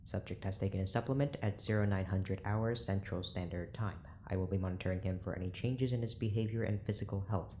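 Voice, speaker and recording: Man acting cold, low-voiced, officious prison doctor. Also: steady tone, brisk, hardly any pauses, mellow, a little hiss or hum, lightly acted. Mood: clinical, detached. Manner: clipped, formal, curt. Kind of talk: audio drama acting.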